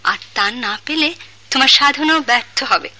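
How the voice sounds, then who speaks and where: Radio drama: proud, lovelorn young Bangladeshi woman, high piercing voice, lyrical questioning delivery, faint background noise. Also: normal speed, sharp tone. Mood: mysterious, teasing. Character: wounded, proud, teasing young daughter in love. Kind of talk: theatrical radio drama acting.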